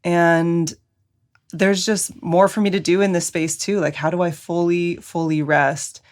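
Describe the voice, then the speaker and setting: A woman co-hosting a podcast, telling a personal story in a gentle, hesitant way with pauses. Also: on the low side, little pitch movement. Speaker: podcast co-host. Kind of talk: reflective conversational storytelling.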